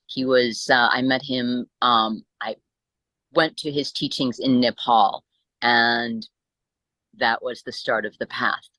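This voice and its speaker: An American woman speaking reflectively as an interview guest, halting as she searches for words. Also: a bass voice, a bit bright, no echo, rough recording. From born in Italy, grew up in the United States. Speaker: interview guest, Buddhist teacher.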